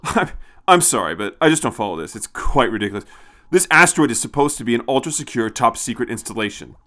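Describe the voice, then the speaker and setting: A narrator acting out a male space colonel from an audio adventure. He is brusque, blustering and impatient, his indignant voice raised a little and moving in lively jumps. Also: medium pitch, fast talker. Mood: brusque, baffled.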